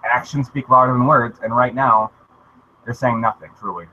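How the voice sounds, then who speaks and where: A man's voice: medium pitch, steady tone, moderate pace, bright, forceful tone. A man giving blunt, emphatic opinions in a casual group discussion. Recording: muffled lo-fi mic with faint hiss.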